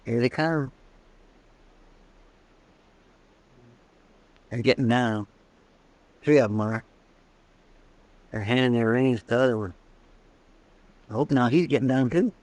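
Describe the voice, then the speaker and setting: An actor playing a deferential, plaintive frontier deputy with a rural American Western drawl, in old radio drama style. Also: male voice, medium pitch, moderate pace, long pauses, darkish tone, close to an everyday voice. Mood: tense, hushed. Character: folksy, anxious, deferential deputy of a frontier marshal. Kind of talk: 1950s radio drama acting.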